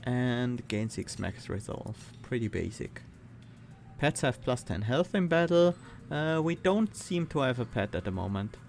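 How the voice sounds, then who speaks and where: A man with a Swiss accent, streaming a card game and thinking aloud, reading cards and weighing choices with pauses and fillers. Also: medium pitch, sing-song, vocal fry, darkish tone, soft background music. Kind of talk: casual gameplay commentary.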